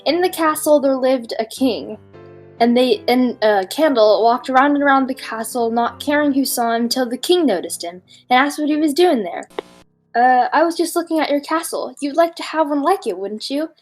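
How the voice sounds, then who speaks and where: Higher-voiced giggly woman reading a fairy tale. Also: animated, moderate pace, soft background music. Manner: giggly, breaking off to comment. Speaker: podcast co-host reading a fairy tale aloud. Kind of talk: informal story reading with casual asides.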